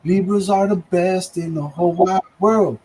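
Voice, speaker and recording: A man in his thirties co-hosting a casual livestream, joking and chattering fast with his friends and the chat. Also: high-pitched, noisy background. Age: 30s. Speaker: livestream co-host.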